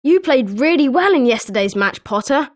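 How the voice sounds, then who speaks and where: A woman's voice: sits high, animated, moderate pace. A woman voicing a chatty, opinionated young student at a wizard school in scripted video game lines.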